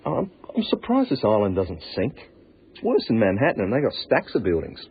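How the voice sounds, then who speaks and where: An actor playing a blunt Australian tunnel night worker in a radio drama, griping in colloquial speech with a husky voice. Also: male voice, fairly low pitch, wildly swooping pitch, brisk, a little hiss or hum. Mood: wry griping. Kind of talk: naturalistic radio drama acting. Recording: narrow, lo-fi phone-like radio recording.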